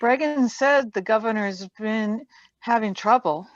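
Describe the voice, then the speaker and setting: A woman's voice: fairly high pitch, a little slow, no echo, highs cut off, close to an everyday voice. A woman playing an earnest, inquisitive young companion in a radio play reading.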